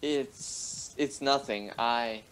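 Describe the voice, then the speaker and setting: A courteous, apologetic gentleman doctor played by a man in a radio drama. He talks slowly and hesitantly, in a medium-pitched voice. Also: clear, resonant tone, poor audio. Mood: polite, uneasy. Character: polite, flustered gentleman doctor, apologetic host. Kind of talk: radio play acting.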